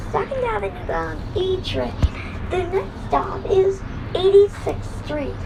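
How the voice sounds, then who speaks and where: A woman reading scripted subway train announcements in clearly enunciated, formulaic phrases that sound pieced together. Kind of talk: scripted automated transit announcements. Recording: noisy recording with train rumble. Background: train ambience and vehicle noise.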